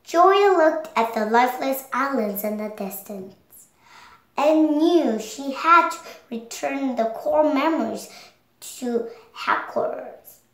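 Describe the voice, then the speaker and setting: Child narrator, girl of seven, high voice, slow careful storybook reading, roomy sound. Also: fairly bright tone. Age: child, 7 years old. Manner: careful, halting reading aloud. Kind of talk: read-along storybook narration.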